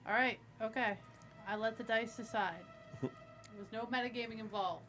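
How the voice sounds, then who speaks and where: A woman's voice: a little high, steady tone, moderate pace, loud music behind the voice. A woman playing a tabletop game, chatting casually and joking in a playful, unfiltered way.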